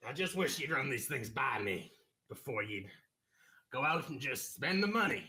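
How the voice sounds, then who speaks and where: A man playing a gruff older father who runs a cigarette business, coughing and blustering. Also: mid-range voice, big pitch swings, moderate pace, bright, forceful tone.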